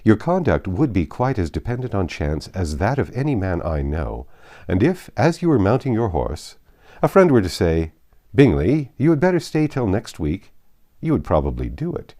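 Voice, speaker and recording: A man voicing a proud, reserved young gentleman in an audiobook of a classic novel, speaking in a formal, measured, cutting way. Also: fairly low pitch, huge pitch swings. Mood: stern, lecturing.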